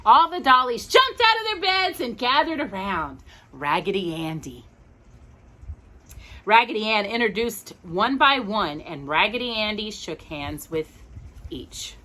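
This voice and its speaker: A woman reading a storybook aloud for children, expressive and gentle, with chatty asides. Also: mid-range voice, measured pace.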